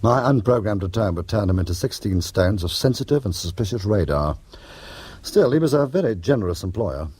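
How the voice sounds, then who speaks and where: An actor playing a wry, cool-headed criminal courier in a radio drama, speaking in a conversational way. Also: male voice, on the low side, lively intonation, fairly quick, darkish tone, a little hiss or hum, close to an everyday voice. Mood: wry narration. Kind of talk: radio drama acting, anecdotal dialogue.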